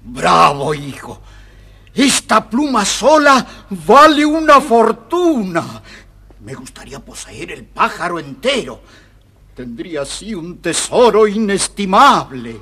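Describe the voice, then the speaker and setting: An actor playing a proud, greedy, bossy old king in a theatrical children's storybook recording, with a raspy, gravelly voice. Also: high-pitched, big pitch swings, slow pace, sharp tone, full character voice. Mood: covetous. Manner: theatrical, blustering.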